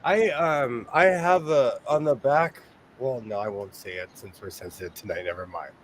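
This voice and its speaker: A man's voice: medium pitch, moderate pace, a little hiss or hum. A man hosting a casual live sewing chat, rambling and self-deprecating as he tells stories. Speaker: host.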